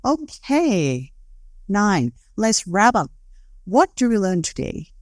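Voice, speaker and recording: A student in their late teens with a Thai accent, co-hosting an educational podcast in a scripted, lively reading. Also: medium pitch, takes it slowly, dry recording, clean studio sound. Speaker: co-host.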